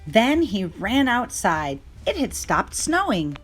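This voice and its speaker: A woman's voice: mid-range voice, big pitch swings, slow pace, soft background music. A woman reading a children's story aloud as narrator.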